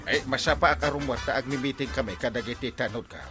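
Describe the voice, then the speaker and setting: An actor playing a flustered, evasive village captain who stammers, in an Ilocano radio comedy drama. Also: male voice, mid-range voice, quick pace, loud music behind the voice, lightly acted. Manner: stammering, evasive, repeating interjections. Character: flustered, gossip-loving comic male village captain.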